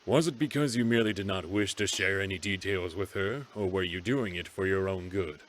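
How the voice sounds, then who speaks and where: A man voicing a calm, probing interrogator with a measured, needling manner in a visual novel game. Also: deep voice, slight background noise, close to an everyday voice. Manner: measured, insinuating.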